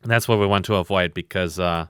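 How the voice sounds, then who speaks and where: A tired man with a loud voice, co-hosting a podcast in a blunt, rambling, swearing chat. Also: low-pitched, fast, clear, resonant tone, no room sound, studio quality. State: very tired from lack of sleep. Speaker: podcast co-host.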